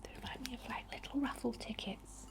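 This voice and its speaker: A British woman whispering in a breathy, soothing, reassuring way, as an ASMR host leading a healing ritual. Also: on the high side, brisk. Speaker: ASMR host, spiritual healer.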